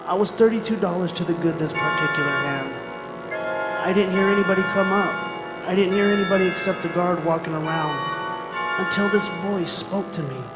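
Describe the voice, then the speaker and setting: A man's voice: high-pitched, brisk, close to an everyday voice. A man playing a condemned prisoner in a radio drama, talking in a folksy, colloquial way. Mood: wry, resigned. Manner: folksy, colloquial, addressing the listener. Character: condemned male prisoner, folksy narrator, anxious and pleading. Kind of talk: radio drama acting, conversational storytelling. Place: performing arts center stage. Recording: echoey stage hall with long reverb, music.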